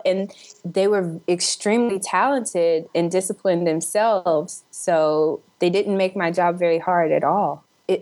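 Low-pitched woman in casual interview. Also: a little mellow. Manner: upbeat, chuckles while talking. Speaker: interview guest, actor and film director.

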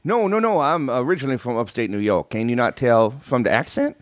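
A man's voice: medium pitch, expressive, fairly quick, no echo, studio quality, close to an everyday voice. A man voicing a rambling, eccentric chef with an in an improvised comedy interview, stammering and insistent. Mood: insistent, defensive. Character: rambling eccentric Creole chef promoting his allergy cookbook.

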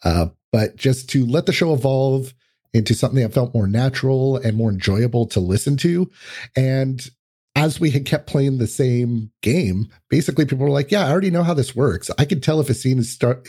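A man's voice: medium pitch, animated, moderate pace, warm tone, slightly rough. A man speaking as a podcast guest, explaining things in casual, conversational interview chat.

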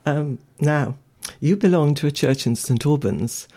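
A woman's voice: low voice, a little slow, dark tone, breathy edge. A woman hosting a podcast, asking questions in a chatty way with frequent short reactions. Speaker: podcast host. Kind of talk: casual interview.

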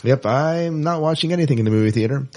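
A middle-aged man hosting a podcast, talking casually and with clear opinions about movie news. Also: a little high, fast talker, warm tone. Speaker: podcast host.

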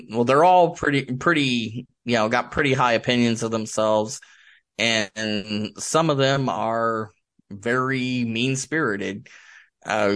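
A man from the United States being interviewed as an author, explaining things casually and haltingly as he searches for words. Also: fairly low pitch, fairly bright tone. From United States, Michigan.

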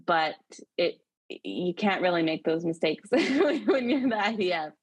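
A woman speaks in a lowish, confident tone, pressing her points with measured emphasis. The recording is dry and close, with no room sound around her. She talks as an expert guest on a podcast. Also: expressive, husky, dry recording. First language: English. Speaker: social media and PR expert guest. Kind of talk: podcast conversation.

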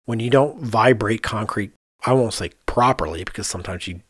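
A man's voice: lowish voice, moderate pace, fairly bright tone, breathy edge, no room sound. American man talking casually, dry close sound. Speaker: podcast co-host and architect. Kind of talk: casual conversational discussion of architecture.

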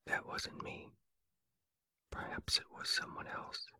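A reader whispering the lines of a simple, puzzled teddy bear in a storybook. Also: pushed and bright. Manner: mild, slow-witted, innocent.

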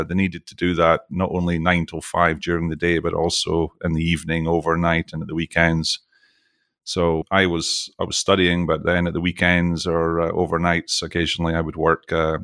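A man's voice: sits low, fairly bright tone, dry recording, clean studio sound. A middle-aged Scottish man chatting as an interview guest, jokey and talkative, often restarting his sentences. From Scotland. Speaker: podcast guest, oil industry executive. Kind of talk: casual interview chat.

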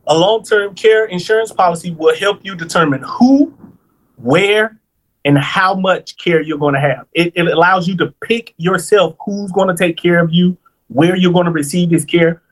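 Man, 30s, high voice, financial planner guest, chatty and lively, keeps checking in with the listener. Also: moderate pace, rough recording. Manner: lively, explains step by step with repeated "right?" checks. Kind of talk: casual podcast conversation.

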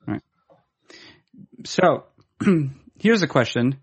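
A man's voice: medium pitch, lively intonation, very slow, slightly rough. A man hosting a podcast, chatting casually and teasing his guest. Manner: joking, teasing banter. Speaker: podcast host.

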